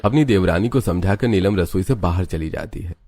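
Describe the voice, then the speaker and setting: Low-voiced male story narrator over music. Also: brisk, darkish tone. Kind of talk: scripted story narration for a cartoon.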